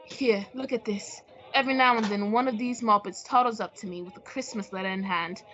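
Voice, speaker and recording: A woman's voice: mid-range voice, brisk, fairly bright tone, close to an everyday voice. A woman playing a fretful, theatrical male sidekick in an old-time radio drama, fussy and exclamatory. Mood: anxious, indignant. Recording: dull lo-fi audio.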